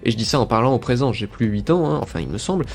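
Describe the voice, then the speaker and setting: A man's voice: medium pitch, animated, rapid-fire. A young man giving a scripted, enthusiastic review as a video essayist. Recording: music playing under the voice. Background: background music.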